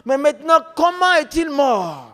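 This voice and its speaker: High-voiced man preaching in a reverberant hall. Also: sing-song, normal speed, a bit bright. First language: non-French mother tongue. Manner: emphatic, repetitive, rhetorical questions. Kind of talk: testimony preaching to an audience.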